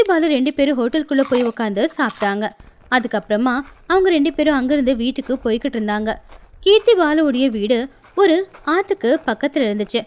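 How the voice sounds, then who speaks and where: A woman's voice: high voice, darkish tone. A woman narrating a scripted moral story as the storyteller.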